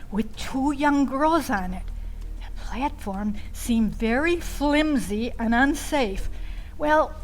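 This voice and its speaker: A woman telling a first-person story in a theatrical way, with dramatic, deliberate delivery, pauses and little asides. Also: sits high, fairly bright tone, poor audio.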